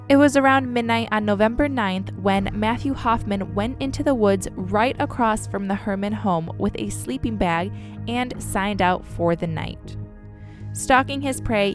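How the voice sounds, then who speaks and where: A young woman hosting a true crime podcast in a casual, chatty way. She has a cold and sounds a bit congested, and she fills her talk with "like" and "um". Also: medium pitch. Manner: chatty, lots of "like" and "um" fillers. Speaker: podcast host.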